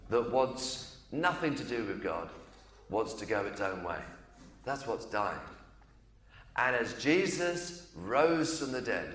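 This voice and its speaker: A man's voice: mid-range voice, a bit bright. A man preaching at a baptism service as pastor, encouraging the congregation. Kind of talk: sermon at a baptism. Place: church. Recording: echoey church room.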